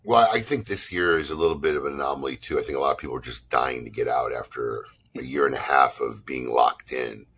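Deep husky male host, playful. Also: brisk. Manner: teasing, jumpy and animated. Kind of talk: casual interview chat.

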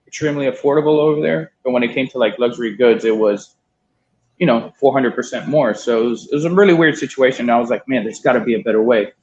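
A man's voice: mid-range voice, a little hiss or hum. A man in his thirties from Miami telling a long story in a casual interview, swearing now and then and quoting others. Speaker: guest, cigar importer. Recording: dull lo-fi sound.

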